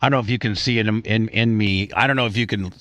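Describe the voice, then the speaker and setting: A man hosting a casual radio show, speaking haltingly and often repeating words before he finishes a thought. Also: fairly low pitch, a bit bright, no echo.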